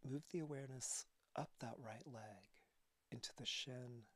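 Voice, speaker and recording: A man leading a guided relaxation as a yoga teacher, speaking calmly and softly with breathy phrases and long pauses. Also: mid-range voice, no echo. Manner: calm, soothing instruction.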